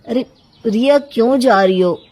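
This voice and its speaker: Woman doing a lovesick young guy, soft dark tone, bit husky, earnest n plaintive, storybook dialogue. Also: fairly high pitch, unhurried, pitched above the performer's own voice, low-quality recording. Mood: upset, pleading. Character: lovesick, earnest young man, devoted and needy.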